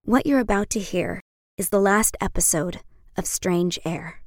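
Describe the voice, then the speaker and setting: An actress playing a reflective young woman, narrating a scripted documentary in a measured way. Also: medium pitch, no echo, studio quality, close to an everyday voice. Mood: measured, wistful. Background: music.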